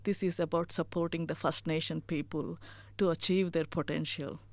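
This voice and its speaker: A woman's voice: low voice, steady tone, normal speed, dry recording. A woman from India with an Indian accent, speaking as a chief executive in an interview, earnest and full of "you know" fillers. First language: not English. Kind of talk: interview answers.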